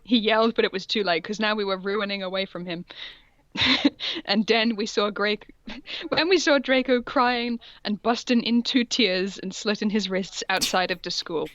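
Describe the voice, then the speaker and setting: A woman's voice: mid-range voice, normal speed, a bit bright, no room sound, dull audio. A woman co-hosting a comedic read-aloud, amused and breaking off for mocking asides. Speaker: co-host reading fan fiction aloud.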